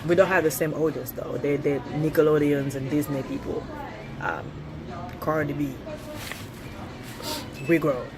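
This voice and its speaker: A woman's voice: sits low, steady tone, measured pace, pauses often, noisy background. Blunt Swiss French woman in her thirties. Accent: Swiss French, with a touch of British. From Switzerland. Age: 30s. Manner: blunt, chatty, laughs often, swears freely. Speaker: vlogger. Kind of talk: casual opinion vlog.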